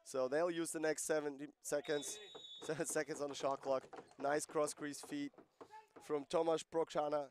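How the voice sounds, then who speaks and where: A man's voice: on the high side, level tone, normal speed, slight background noise. A man giving live play-by-play commentary on a lacrosse game, conversational and explaining rules and player backgrounds between plays.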